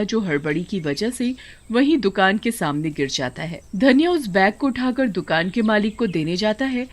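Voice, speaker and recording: A woman narrator reading a scripted moral tale as storytelling. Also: lowish voice.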